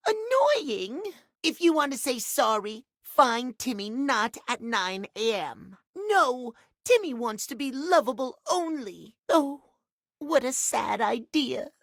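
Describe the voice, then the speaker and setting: A man's voice: extremely high pitch, very animated, slow pace, a bit bright, no echo, clean studio sound, heavily acted. A man voicing a cute, needy, childlike cat creature in a video game, with babyish, whiny, pouty delivery. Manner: babyish third-person cutesy talk, whiny. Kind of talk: video game character voice acting.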